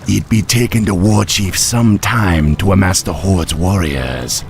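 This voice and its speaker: An actor voicing a loyal, easygoing troll ally in a video game, encouraging. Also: male voice, low-pitched, measured pace, a little hiss or hum. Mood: reassuring. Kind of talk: video game cinematic acting.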